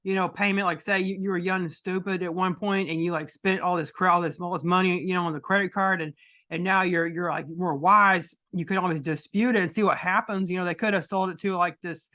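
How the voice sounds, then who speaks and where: A woman with a deep voice hosting a podcast, chatting casually and rambling with many restarts. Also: steady tone, brisk, bright, forceful tone. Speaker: podcast host.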